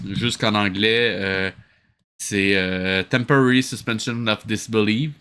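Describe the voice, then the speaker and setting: Bright-voiced, exasperated Québécois man. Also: fairly low pitch, fairly even intonation, slow, smooth. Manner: incredulous, exasperated rhetorical questions. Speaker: host reviewing a cartoon. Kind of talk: comic reaction commentary.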